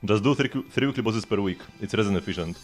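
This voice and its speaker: A man with a fairly low voice gives casual livestream commentary over game music. He talks in a rambling way, often repeating a phrase before moving on. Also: fast. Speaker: live streamer. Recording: music playing behind the voice.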